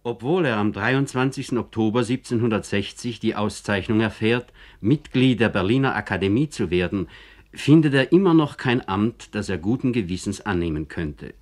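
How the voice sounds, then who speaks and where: A man with a fairly low voice narrates a radio documentary. His scripted delivery is formal and measured. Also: lively intonation, a little mellow.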